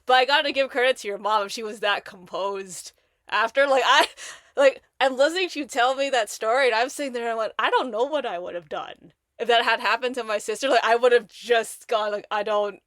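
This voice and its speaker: A woman hosting a podcast, talking in an animated, rambling way with lots of restarts and fillers. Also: on the high side, brisk, no room sound, studio quality. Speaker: podcast host. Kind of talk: casual conversation.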